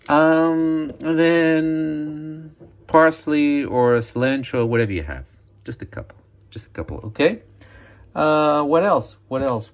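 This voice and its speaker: A deep-voiced man walks viewers through a recipe in a relaxed home-kitchen chat. His English comes with frequent ums and self-checks as he searches for words. A faint background noise sits under the voice. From Morocco. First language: Amazigh. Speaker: cooking video host. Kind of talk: casual cooking demonstration.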